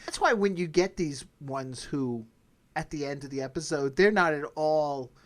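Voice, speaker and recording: A man's voice: a little high, normal speed. A middle-aged man hosting a podcast in a casual, rambling discussion style. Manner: animated, rambling. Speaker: podcast host.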